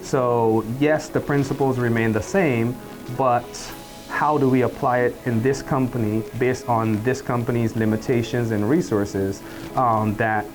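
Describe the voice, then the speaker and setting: A middle-aged man with a Barbadian accent, a professor and department chair speaking in a faculty profile in a measured, explanatory way. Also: mid-range voice, fairly even intonation, music in the background, poor audio. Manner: measured, explanatory, uses "you know" and "and whatnot".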